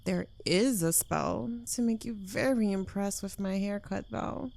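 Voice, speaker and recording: Woman acting a slow, smug yandere witch girlfriend. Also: mid-range voice, creaky, darkish tone. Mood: teasing. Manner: teasing, smug, drawling, dropping into whispers. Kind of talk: intimate ASMR roleplay addressed to the listener.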